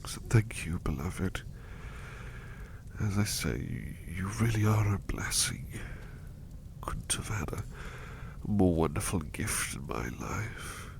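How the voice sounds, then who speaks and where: A man voicing an ill, devoted butler in an ASMR roleplay, talking softly in a whispery, affectionate way as he grows sleepy. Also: deep voice, expressive, slow pace, gravelly, pauses often, heavily acted. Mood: tender, drowsy. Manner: soft, whispery, affectionate, repeats phrases. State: sick and sleepy. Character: devoted, gentle male butler, ill in bed.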